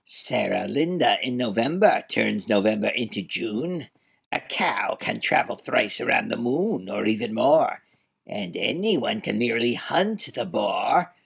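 A man voicing a cold, sneering old duke who taunts slowly and menacingly in an audiobook. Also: fairly low pitch, very animated, gravelly, husky, heavily acted. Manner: slow, menacing, mocking. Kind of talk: audiobook character voice.

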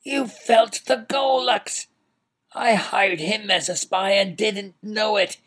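Raspy, high, snarling villain duke. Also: male voice, steady tone, takes it slowly, fairly bright tone, full character voice. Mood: furious, shrill. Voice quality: raspy, harsh voice. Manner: theatrical, snarling, imperious. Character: cold, cruel, raging old male villain duke. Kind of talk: dramatised storybook narration.

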